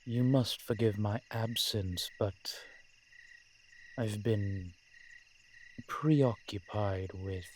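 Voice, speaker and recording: A man playing a courteous, centuries-old vampire in an audio roleplay, speaking in a measured, formal, genteel way with deliberate pauses. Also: lowish voice, a little hiss or hum. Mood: measured, confiding. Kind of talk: scripted audio roleplay addressed to the listener.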